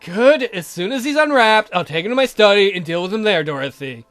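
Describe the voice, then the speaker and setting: A man's voice: sits high, lively intonation, brisk, a bit bright, faint music behind. A man voicing a suave, cheeky count with polite, theatrical, condescending menace for a visual novel game. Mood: businesslike.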